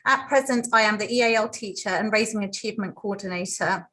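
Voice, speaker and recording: A woman's voice: mid-range voice, brisk, sharp tone. Colombian-born woman teacher speaking earnestly. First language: Spanish. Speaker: EAL teacher and panelist. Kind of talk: prepared personal talk on a panel.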